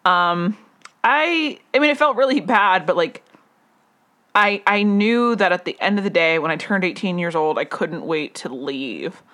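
A woman's voice: on the low side, lively intonation, measured pace, fairly bright tone. A young woman casually telling a personal story at home, rambling with many fillers and restarts.

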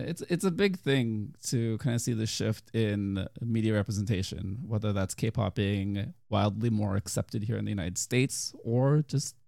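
A man's voice: lowish voice, creaky, warm tone, dry recording, studio quality. A young man hosting a casual chat, teasing his co-host and rambling with lots of fillers. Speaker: podcast host.

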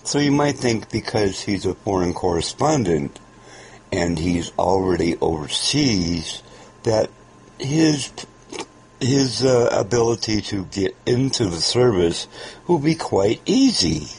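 A man's voice: lowish voice, big pitch swings, darkish tone, breathy edge. A man hosting a podcast, giving an informal, explanatory introduction to an old radio show. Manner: unhurried, explanatory.

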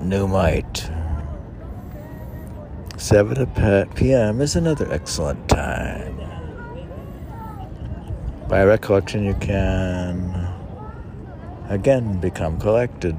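A man reading his own poems aloud outdoors, line by line in a halting way, with casual asides. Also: low voice. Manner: halting, spells out words, reads aphorisms line by line. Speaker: poet reading own work. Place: outdoors on a city museum lawn. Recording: outdoor recording with clear urban noise.

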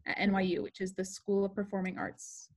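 A woman's voice: mid-range voice, a little fast. Young woman, podcast co-host, chatty, video call audio. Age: young adult. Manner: chatty, animated, frequent "um". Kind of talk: casual chat over video call.

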